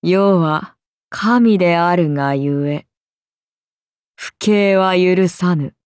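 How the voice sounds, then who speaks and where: An actress playing a haughty ancient serpent goddess in a video game, speaking in an imperious, archaic and languid way, amused and menacing. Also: female voice, on the low side, drawn-out words, darkish tone, clear, resonant tone, no echo, studio quality. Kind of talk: video game character voice lines.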